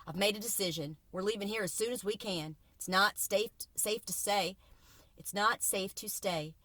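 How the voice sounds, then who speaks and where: A woman's voice: medium pitch, normal speed, sharp tone, dry recording. A woman voicing a stern, worried older father in a storybook, protective, emphatic and firm.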